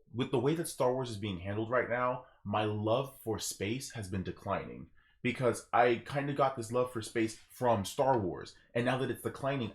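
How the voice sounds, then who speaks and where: A young man co-hosting a casual gaming podcast, explaining his points in an animated, emphatic way. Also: mid-range voice. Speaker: podcast co-host.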